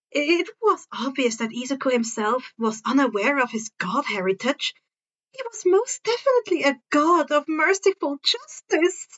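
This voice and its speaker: A woman's voice: high-pitched, a bit bright, muffled recording. A woman reading a story aloud as narrator, now and then stumbling over and repeating words, on a modest home recording. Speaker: fan fiction narrator.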